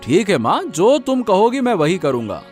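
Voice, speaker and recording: A man voicing an eager, kind young village son in a cartoon, excitable and prone to stammering. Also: high voice, moderate pace, soft background music. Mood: earnest. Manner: excitable, stammers when flustered. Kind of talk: Hindi cartoon dubbing.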